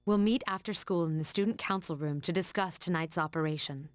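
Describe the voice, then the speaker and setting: Actress as commanding older schoolgirl for a game; lowish, dry voice, formal and exacting. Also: no room sound, lightly acted. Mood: resolute. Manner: formal, authoritative, measured. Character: stern, commanding female high school senior, student council leader.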